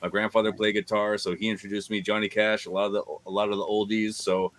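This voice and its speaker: Brief: man, deep voice, relaxed guest chat on a game stream. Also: fast talker. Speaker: voice actor guest. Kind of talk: casual chat.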